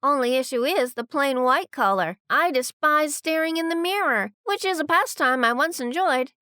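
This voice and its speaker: A woman's voice: high voice, animated, moderate pace, sharp tone, no room sound, studio quality. A woman playing an eerily cheerful, polite squatter in an audio drama, speaking with mannered, formal phrasing. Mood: serene, proud. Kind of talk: audio drama dialogue acting.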